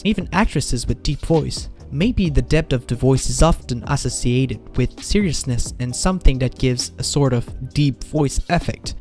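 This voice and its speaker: A man's voice: medium pitch, a little mellow. Man narrating a scripted video essay over music.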